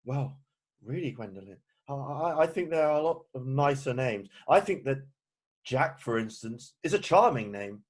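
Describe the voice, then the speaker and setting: A man playing a nervous, ardent young gentleman suitor in an amateur play read-through, hesitant and stammering, over a video call. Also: medium pitch, expressive, moderate pace, lightly acted. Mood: anxious, hesitant.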